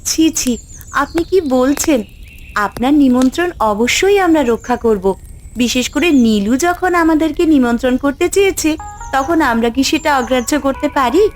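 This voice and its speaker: A woman's voice: sits high, expressive, normal speed, soft background music. A woman playing a gracious, resolute, protective fairy mother, speaking earnestly in a fairy-tale cartoon. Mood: gentle, reassuring. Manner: earnest, declamatory.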